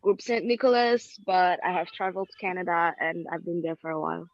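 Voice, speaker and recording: A woman's voice: fairly low pitch, steady tone, a little fast, no echo. A woman in her twenties, a scout guest, introducing herself hesitantly on a video call. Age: 20s. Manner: hesitant, fillers. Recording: dull lo-fi call audio.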